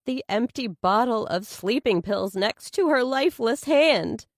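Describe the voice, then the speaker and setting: A woman's voice: on the high side, animated, a little slow, no room sound, pitched above the performer's own voice. A woman voicing an evasive, businesslike female lawyer who presses insistently in a thriller audiobook. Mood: shaken, guarded.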